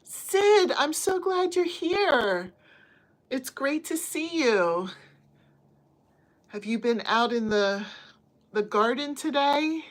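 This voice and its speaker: A woman's voice: sits high, expressive, slow, long pauses. A woman from the United States, a crochet livestream host, chatting casually with viewers and reading their comments from her phone at home. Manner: chatty, friendly, talks to chat viewers by name. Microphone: phone on a stand.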